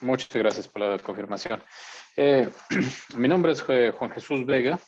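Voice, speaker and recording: A man's voice: mid-range voice, expressive, unhurried, a little mellow, slight background noise. A man from Guanajuato, Mexico, speaking over a video call as a forum participant, polite and hesitant with many fillers. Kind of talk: remarks over a remote call. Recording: dull lo-fi call audio.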